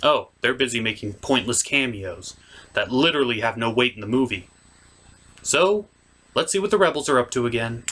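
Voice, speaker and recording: Sardonic review host, highly animated delivery, emphatic scripted comedy narration. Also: normal speed, slight background noise.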